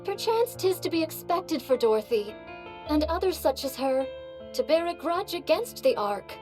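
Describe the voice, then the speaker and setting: A woman's voice: high voice, big pitch swings, moderate pace, over music. A voice actress playing a noble female warrior who talks in an old-fashioned, courtly way. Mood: reflective.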